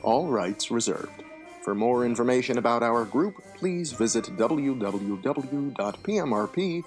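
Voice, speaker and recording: A man reads scripted announcements in a medium-pitched voice with lively intonation. Music plays clearly underneath him. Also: unhurried.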